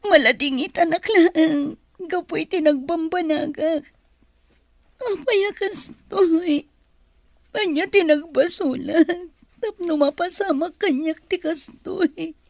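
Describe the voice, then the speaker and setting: A woman plays a heartbroken young mother in an audio drama. Her voice is very high and pleading, recorded close and dry. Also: slow pace, darkish tone, no echo, full character voice. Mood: sorrowful, pleading. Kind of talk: Ilocano audio drama acting.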